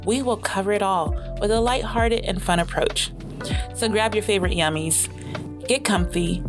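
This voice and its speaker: A woman host delivering a scripted podcast introduction. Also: medium pitch. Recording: voice over intro music. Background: music.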